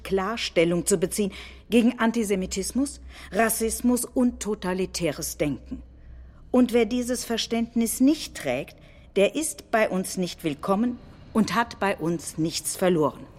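A woman's voice: medium pitch, unhurried, darkish tone. A woman reciting quoted political statements with emphatic phrasing, in the style of scripted documentary theatre. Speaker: stage reader of political quotations.

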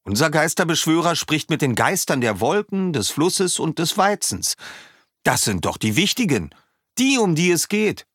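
Man doing an anxious, eager young villager for a storybook; lively, husky. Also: fairly high pitch, moderate pace, no echo, studio quality, pitched above the performer's own voice, full character voice. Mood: explaining. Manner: earnest, eager, worried.

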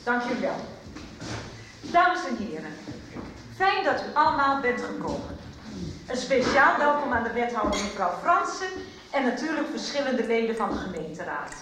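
A woman's voice: a little high, sing-song, moderate pace, long pauses, background noise. An actress playing a proud, defiant woman artist, making a wordy, wry speech in a radio soap. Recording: echoey hall, distant, music behind.

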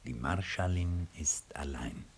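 Very deep male narrator. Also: slow, a little hiss or hum. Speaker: narrator reading stage directions. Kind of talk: radio play narration.

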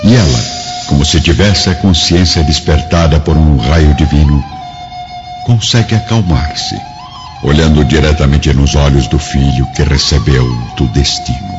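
A man's voice: rumbling low, unhurried. A man with a Brazilian accent narrating a radio drama as a solemn storyteller. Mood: solemn, compassionate. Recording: dull lo-fi radio drama with music. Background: dramatic music.

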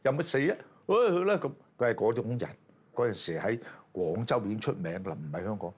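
A man's voice: lowish voice, sing-song, fast, a little mellow, slightly rough. A man telling anecdotes casually as an interviewee.

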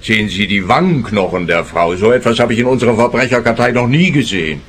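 A man's voice: lowish voice, lively intonation, fast talker, slight background noise, lightly acted. An actor playing an obtuse, sarcastic policeman who needles a detective in a comic radio play. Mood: mocking. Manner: sarcastic, quipping.

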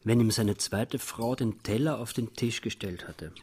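A man's voice: lowish voice, a little slow, a little mellow, breathy edge. An actor playing a timid, childlike man in his forties in a radio play, speaking hesitantly and plaintively. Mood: wistful, brooding. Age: 40s. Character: timid, childlike younger brother turned hapless robber. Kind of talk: radio play dialogue and monologue.

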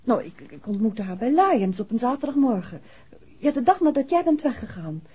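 An actress playing a refined, excitable detective's wife with a husky voice in a 1960s radio drama. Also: female voice, fairly high pitch, normal speed. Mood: thoughtful, chatty. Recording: dull 1960s radio recording.

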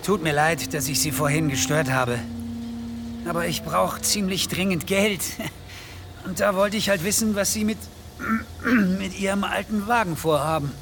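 An actor playing a sick, desperate garage owner in a radio drama, halting and weary. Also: male voice, high voice, noisy background. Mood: apologetic, halting.

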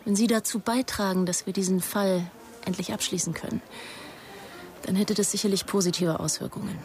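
A woman's voice: mid-range voice, steady tone, fairly quick, darkish tone, a little hiss or hum, natural voice. A woman playing a determined, guarded police investigator in a German radio crime drama, firm and matter-of-fact. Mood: pointed. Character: determined, guarded female police investigator, new to her job.

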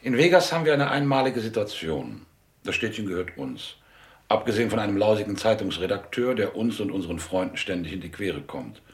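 An actor playing a middle-aged, elegant mobster in a German radio drama, businesslike and coolly threatening. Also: male voice, lowish voice, sing-song, a little fast. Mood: coolly menacing.

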